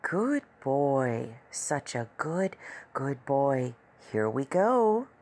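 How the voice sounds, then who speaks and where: A man's voice: medium pitch, sing-song, drawn-out words, a little mellow, voice pushed up, heavily acted. A man voicing a teasing, dominant adult woman in an audio story, coaxing and confident. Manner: coaxing pet names, teasing commands.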